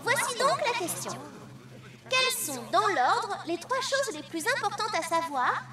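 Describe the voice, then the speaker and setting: Very high-pitched cartoon quiz host. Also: female voice, a little slow, recorded from a distance, a loud crowd, exaggerated voice. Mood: upbeat, announcing. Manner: animated announcing, clear presenter delivery. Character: bubbly female quiz host of a children's safety contest. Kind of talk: children's cartoon dub, game show presenting. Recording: music under the voice.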